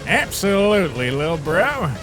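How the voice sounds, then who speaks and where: A man playing a laid-back, wisecracking skeleton big brother in an animated comic dub, with a casual, reassuring manner. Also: sits high, normal speed, soft background music. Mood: easygoing, encouraging.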